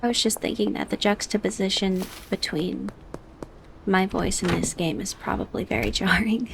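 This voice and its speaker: A woman with a soft, high voice talking quietly through a gameplay video for sleep, slipping between a hush and a whisper. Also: moderate pace, vocal fry, background noise. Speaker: gamer. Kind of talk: soft-spoken ASMR gameplay.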